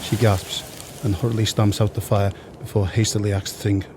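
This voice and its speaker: Low, flat-toned male narrator. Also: noisy background. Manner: measured, reading stage directions. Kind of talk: scripted audio play narration.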